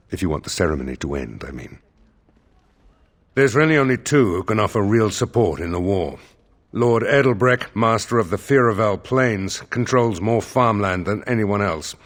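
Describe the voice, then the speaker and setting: An actor playing a dutiful, wary seneschal in a fantasy game, measured and deferential in his speech. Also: sits low, lively intonation. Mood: cautious, confiding. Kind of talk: scripted fantasy game dialogue.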